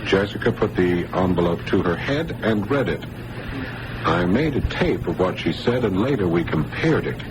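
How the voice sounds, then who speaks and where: A man acting in a 1980s radio drama as an earnest doctor who researches the paranormal and gets halting and rattled. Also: low-pitched, lively intonation. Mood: businesslike. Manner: halting, stammering under pressure. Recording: noisy old radio broadcast recording.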